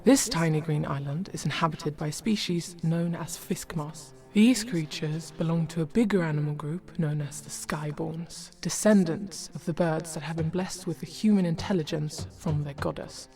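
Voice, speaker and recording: A woman reading scripted fantasy narration in a measured storybook manner. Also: deep voice. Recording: loud music behind.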